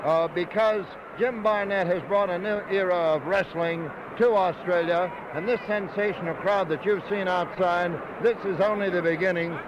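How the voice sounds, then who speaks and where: A middle-aged man speaks in a high-pitched voice as a wrestling insider being interviewed. He is emphatic and hyperbolic, stumbling now and then. The old radio recording is dull, with clear noise behind him. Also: steady tone, moderate pace, hardly any pauses. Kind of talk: excited on-the-spot interview.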